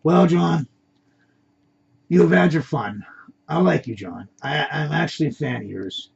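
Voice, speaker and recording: A man voicing a menacing male kidnapper in an audio crime story, calm, condescending and commanding. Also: a little high, unhurried, gravelly, slight background noise, exaggerated voice. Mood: calmly threatening. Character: cool, menacing male masked gunman, boss's son. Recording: dull lo-fi recording.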